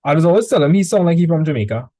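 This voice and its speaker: Trinidadian man tutoring math, mellow voice. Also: fairly high pitch, very fast, dry recording. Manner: relaxed, chatty, repeats words when starting. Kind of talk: casual online tutoring session. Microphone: video call.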